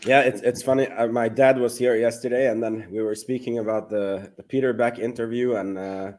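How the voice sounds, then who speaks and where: Hungarian-born man, casual stream co-host on a call, halting storyteller, mid-pitched. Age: adult father of several children. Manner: halting, restarts often, relaxed storytelling. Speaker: livestream co-host. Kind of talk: casual chat on a livestream.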